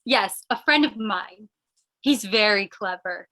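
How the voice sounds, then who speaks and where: A woman's voice: medium pitch, expressive, takes it slowly, bright, forceful tone. A woman playing a plucky, mock-dignified lady gang boss in an old-time radio play, theatrical and coy. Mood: coy, sly.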